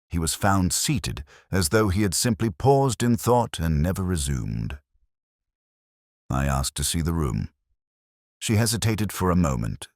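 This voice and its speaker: A very low-voiced, erudite older detective, played by a man, recounts a case in slow literary prose. His delivery is reflective and wry, with lively melodic movement in the pitch. The sound is close and dry, suited to melancholy radio mystery. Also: dry recording, exaggerated voice. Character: melancholy, erudite older male English police inspector, reminiscing. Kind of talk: slow literary first-person detective narration.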